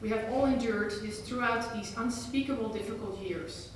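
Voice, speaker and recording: A woman's voice: on the high side, normal speed, a little hiss or hum. Woman, echoey far-off hall. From Netherlands. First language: Dutch. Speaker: curator introducing a speaker. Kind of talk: formal introduction at a lecture. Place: museum lecture hall.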